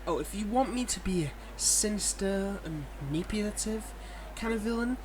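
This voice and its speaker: Higher-voiced cocky, flirty villain acted by a man. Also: normal speed, darkish tone, slight background noise, natural voice. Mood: mock-defensive, coaxing. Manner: smug, teasing, coaxing and mock-defensive. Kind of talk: casual audio roleplay addressed to the listener.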